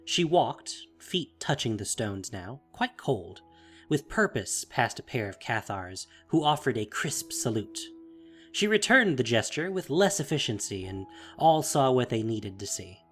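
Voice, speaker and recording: A man's voice: medium pitch, big pitch swings, measured pace, fairly bright tone, soft background music. A man narrating a fantasy audio drama in a dramatic, scripted style.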